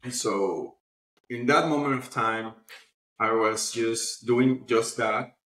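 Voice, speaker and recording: Male interview guest, mid-pitched, animated conversational storyteller, roomy echoey recording. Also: slow. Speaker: interview guest, publishing platform founder. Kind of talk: casual conversational interview answers.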